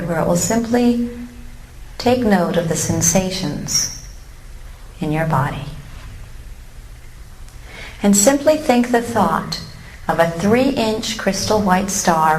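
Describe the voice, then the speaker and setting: A woman leading a guided meditation in a calm, gently instructive way with long pauses, recorded live in a room. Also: on the low side. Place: room with a seated live audience. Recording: roomy live recording with faint noise.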